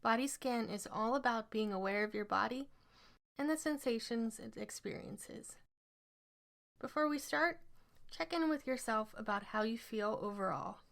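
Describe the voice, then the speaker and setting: Woman doing a guided meditation, highish voice w lots of vocal fry, measured scripted instructions. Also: a bit bright. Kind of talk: scripted guided relaxation narration.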